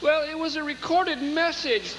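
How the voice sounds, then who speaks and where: A man's voice: very high-pitched, normal speed, dull audio, noisy background. An actor playing a sad, hapless rich teenage boy in a comic radio serial. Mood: glum. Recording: roomy live stage, music behind.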